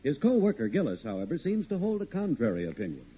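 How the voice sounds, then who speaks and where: A man's voice: a little high, wildly swooping pitch, quick pace, slightly rough. A man with an American accent announcing an old-time radio show. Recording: narrow vintage radio, loud music behind.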